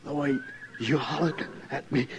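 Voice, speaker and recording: A man's voice: medium pitch, fairly even intonation, unhurried, close to an everyday voice. Gasping, wounded Texas cowboy played by a man. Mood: bitter, weakening. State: gasping, in pain. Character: bitter, plain-spoken, mortally wounded Texas cowboy. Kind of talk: old-time radio western acting. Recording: slightly noisy old radio recording.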